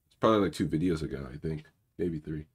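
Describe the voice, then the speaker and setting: A man with an extremely deep, rumbling voice that is rough and husky because he is sick. He talks casually and in a rambling way as he walks viewers through his code, filling pauses with "um" and "like" and muttering as he clicks around. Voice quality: low rumbly voice. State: sick, more raspy than usual. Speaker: cybersecurity channel host. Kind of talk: casual live coding walkthrough, reading viewer questions.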